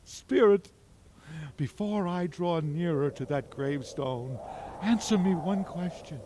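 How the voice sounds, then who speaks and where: An actor playing an old miser in a theatrical, exclamatory radio drama style, full of impassioned feeling. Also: male voice, on the high side, slow, noisy background. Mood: fearful, sombre. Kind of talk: golden age radio drama acting.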